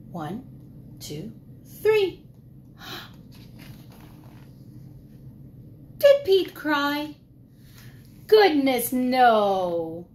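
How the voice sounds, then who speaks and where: Very high, animated woman reading a children's story. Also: slight background noise. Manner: exaggerated, expressive, asking listeners questions; gasps and drops to whispers for suspense. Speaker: preschool teacher reading a picture book.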